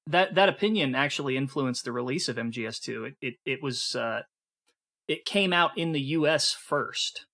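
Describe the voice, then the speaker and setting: Co-host: man, bright tone, eager and explanatory. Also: medium pitch, lively intonation, moderate pace. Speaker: podcast co-host. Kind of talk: casual chat.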